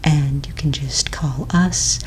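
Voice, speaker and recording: A woman speaking in a soft, breathy near-whisper, playing a courteous, patient office manager in a gentle ASMR roleplay. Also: rumbling low, slow, slight background noise. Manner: gentle, polite, reassuring clerical patter.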